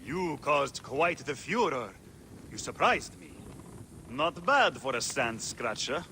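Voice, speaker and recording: A man's voice: medium pitch, big pitch swings, slow, sharp tone, a little hiss or hum, heavily acted. An actor playing a greedy, boastful arena fight promoter in a video game, talking like a smug, wheedling showman. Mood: smug, scheming. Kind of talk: video game cutscene acting.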